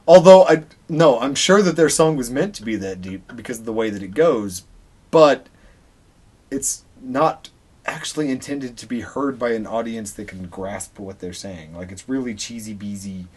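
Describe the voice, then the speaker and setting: A man talks in a loose, conversational podcast style. His pitch sits in the middle of the male range. He rambles and digresses, with offhand swearing thrown in. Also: animated, moderate pace, a little mellow, slightly rough. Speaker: podcast co-host. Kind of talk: casual rambling podcast chat.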